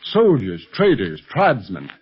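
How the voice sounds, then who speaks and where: Confident, lively adventure leader. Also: male voice, lowish voice, husky, heavily acted. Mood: explaining. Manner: brisk, authoritative, explanatory. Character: confident, steady adult male agent and leader. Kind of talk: 1930s radio adventure serial acting. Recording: dull 1930s radio recording with faint noise.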